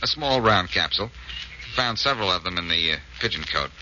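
An actor playing a confident, wisecracking amateur detective in 1940s radio drama style, wry and self-assured. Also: male voice, low-pitched, expressive, fairly quick, bright, forceful tone, full character voice. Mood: explaining. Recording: muffled, noisy old radio recording.

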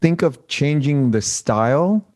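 A man with an American accent hosting a casual interview, halting as he searches for words and repeats phrases. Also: on the high side, lively intonation, a little mellow. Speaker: interview host.

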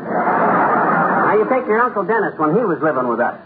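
An actor playing a folksy, wisecracking middle-aged American husband in a 1950s radio comedy, grumbling and sardonic. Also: male voice, fairly high pitch, very animated, normal speed. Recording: tinny, noisy old radio broadcast.

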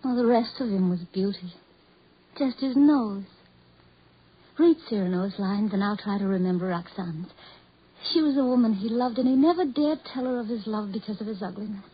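An actress playing a tender, devoted blind woman, earnest and melodramatic in 1940s radio drama style, with a husky voice. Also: mid-range voice, moderate pace. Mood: tender, coaxing. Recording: muffled old 1940s radio recording.

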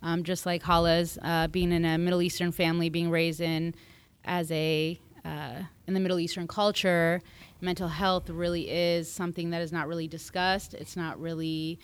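Middle-aged American female panelist, smooth slightly low voice, informal podcast talk. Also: little pitch movement, normal speed. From United States. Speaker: panel member, counselor in training. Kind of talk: casual podcast introduction.

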